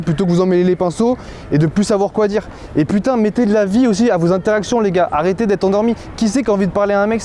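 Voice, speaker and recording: A young man vlogging on a city street, energetic and slangy, stumbling over his words now and then. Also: sits high, slight background noise. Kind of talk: street pickup vlog. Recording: busy street crowd behind.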